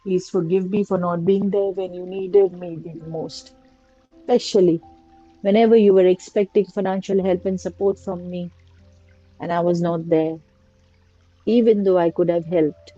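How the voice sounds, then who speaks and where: A woman slowly guiding a prayer and meditation in a soft, repetitive litany of blessings. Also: fairly low pitch, fairly even intonation. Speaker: meditation guide. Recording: dull lo-fi recording with faint music. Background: faint music.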